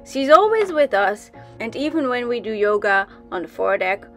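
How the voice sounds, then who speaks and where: A woman's voice: on the high side, very animated, normal speed, faint music behind. A young woman from the Netherlands giving casual voice-over narration for a sailing vlog, chatty, with frequent ums and restarts. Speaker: sailing vlogger.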